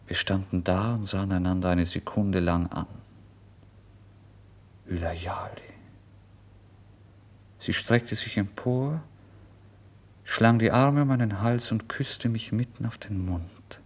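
A rather low-voiced, destitute young man confesses his story in a literary radio play. He is brooding and feverish, tormented yet exalted. His intense delivery moves between hushed murmurs and loud, impassioned declamation. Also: slow pace, pauses often. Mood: tender, hushed. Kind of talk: dramatic first-person literary narration in a German radio play.

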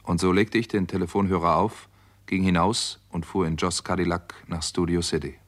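Low-voiced, brooding doctor. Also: male voice, fairly even intonation, moderate pace. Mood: brooding, tense. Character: wary, brooding American doctor narrating his own case. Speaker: radio drama actor, lead and narrator. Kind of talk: 1960s German radio crime drama acting.

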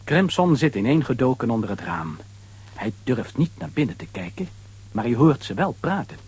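Levendige mannelijke verteller met hese stem. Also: male voice, medium pitch, sing-song, moderate pace, darkish tone, slightly rough. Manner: conversational, dramatic storyteller. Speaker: narrator. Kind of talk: lively storytelling narration for a children's audio play.

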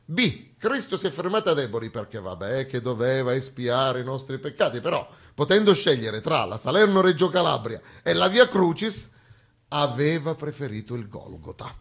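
A man delivers a theatrical comic monologue with emphatic, ironic phrasing and dramatic pauses. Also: medium pitch, big pitch swings, a bit bright. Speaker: stage actor performing a satirical monologue.

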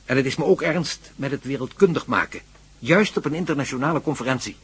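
A man's voice: medium pitch, quick pace, breathy edge. An actor playing an idealistic, determined male professor in a radio play, speaking emphatically and declaiming. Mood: firm, impassioned.

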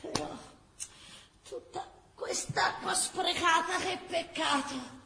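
A woman's voice: very high voice, takes it slowly, creaky, echoey room, very noisy, full character voice. An actress playing a stubborn, feisty, plain-spoken wartime Florentine woman in a radio drama, with a Tuscan accent and emphatic, colloquial delivery. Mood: defiant, dismayed. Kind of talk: radio theatre acting and storytelling.

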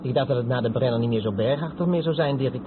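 An actor playing a curious, naive newcomer trucker, wondering aloud and asking questions in a radio play. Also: male voice, medium pitch, fast talker, close to an everyday voice. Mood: curious, sceptical. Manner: inquisitive, wondering. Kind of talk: old radio play dialogue. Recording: tinny, noisy old radio recording.

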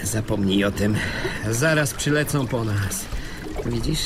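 A man's voice: lowish voice, measured pace, over music, close to an everyday voice. A man acting in a radio play as a dogged police officer, smug, sardonic and stern, taunting with emphatic delivery.

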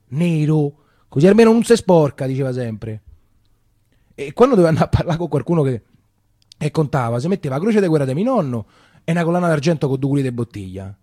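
A man's voice: medium pitch, dark tone, breathy edge, no room sound, studio quality. A man with a Roman accent acting a theatrical storytelling monologue in a colloquial, confiding way. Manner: colloquial, confiding, repeats phrases. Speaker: stage actor.

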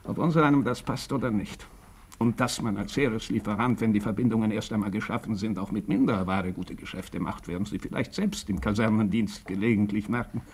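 An actor playing a blunt, shrewd doctor with a deliberate, sardonic, probing manner in a German radio drama. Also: male voice, lowish voice, a little mellow, breathy edge. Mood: cynical. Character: blunt, shrewd, bespectacled male country doctor.

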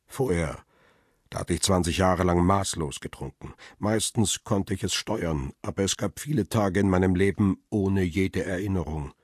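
An actor playing a world-weary photographer who narrates his ordeal in a radio crime play, sombre and deliberate. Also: deep voice, husky, dry recording, studio quality. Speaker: first-person narrator. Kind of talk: dramatic scripted narration.

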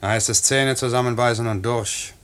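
A man's voice: mid-range voice, steady tone, normal speed, a bit bright, clear, resonant tone, close to an everyday voice. A man playing a cheerful, teasing pilot in a 1950s German radio play, speaking in a breezy, colloquial way. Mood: tender, teasing. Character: cheerful, teasing night-mail pilot, tender with his young wife.

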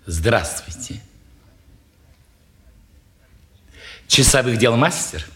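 An actor playing an odd, unsettling man of forty with halting, cryptic, deliberate speech, in a 1950s Russian stage drama. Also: medium pitch, animated, pushed and bright. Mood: halting, reserved. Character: odd, unsettling stranger of forty, humbly dressed.

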